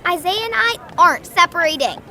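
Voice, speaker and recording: A woman's voice: very high-pitched, animated, brisk, a little hiss or hum, exaggerated voice. A woman playing a plucky, loyal young girl who travels through time in a children's audio drama. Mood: concerned. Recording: loud music and outdoor crowd behind.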